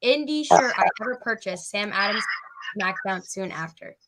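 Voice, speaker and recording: A woman's voice: medium pitch, expressive, moderate pace, a loud crowd, poor audio. A woman hosting a casual live-stream interview, chatting informally with her guest and audience. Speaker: podcast host and interviewer.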